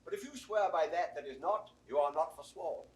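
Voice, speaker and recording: An actor playing a cheeky, quibbling court jester in a classic Shakespeare audio drama, bantering with mock logic in a theatrical style. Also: male voice, on the high side, a little fast, darkish tone, lightly acted.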